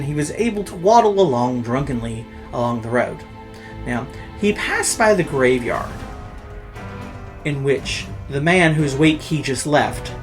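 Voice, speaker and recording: A storyteller host telling a horror tale in a pompous, campy, theatrical way, with dramatic pauses and drawn-out words. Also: medium pitch. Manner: pompous, campy, self-flattering showmanship.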